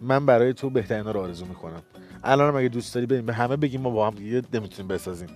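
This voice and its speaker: A man playing a calm, formal dating-show contestant in a comic sketch. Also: medium pitch, soft background music, close to an everyday voice. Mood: composed.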